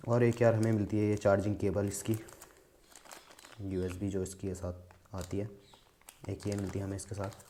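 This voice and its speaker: A man's voice: fairly low pitch, level tone, moderate pace, long pauses, mellow, slight background noise. A man giving a casual hands-on product demonstration in an informal, step-by-step explanatory manner. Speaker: vlogger reviewing a product.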